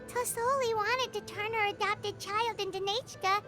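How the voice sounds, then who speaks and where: A woman voicing a tiny excitable fairy companion in a video game, bubbly and chatty. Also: very high voice, moderate pace. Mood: worried. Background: soft game music.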